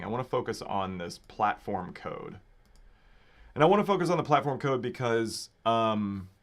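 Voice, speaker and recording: A man with a slightly raspy voice giving casual live coding commentary, thinking aloud informally as a developer hosting a livestream. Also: fairly low pitch. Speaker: livestream host and software developer. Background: computer keyboard typing and clicking.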